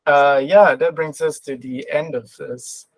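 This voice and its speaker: A man's voice: fairly high pitch, fairly even intonation, unhurried, no echo. A man hosting an online roundtable in a casual, chatty style. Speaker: roundtable host. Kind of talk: casual chat. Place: online roundtable call, dry sound.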